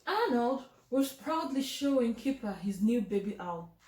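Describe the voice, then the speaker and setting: High-pitched, expressive woman reading to children. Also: a little slow. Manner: expressive, addressing young listeners directly. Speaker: storytime host reading a picture book. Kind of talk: children's storybook read-aloud. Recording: roomy, echoing home recording.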